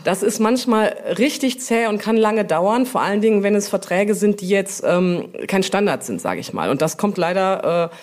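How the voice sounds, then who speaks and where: A woman's voice: mid-range voice, animated, moderate pace, hardly any pauses, darkish tone. A woman speaking as an interview guest, in an informal, colloquial and chatty way. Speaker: interview guest, publishing brand manager. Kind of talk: casual interview talk.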